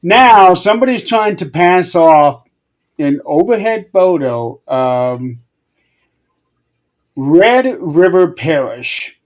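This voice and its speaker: Brief: unhurried male co-host, slow delivery, slightly high voice. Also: lively intonation. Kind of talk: casual chat.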